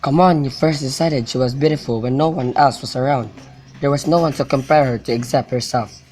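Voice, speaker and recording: A man's voice: mid-range voice, fairly even intonation, brisk, darkish tone, a little hiss or hum, close to an everyday voice, poor audio. A man playing a teasing, persuasive young friend in an amateur radio drama.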